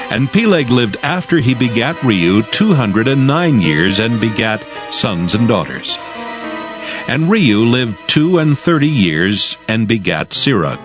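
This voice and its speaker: A man narrating scripture from a script in a measured, formal manner. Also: on the low side. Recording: music behind the voice.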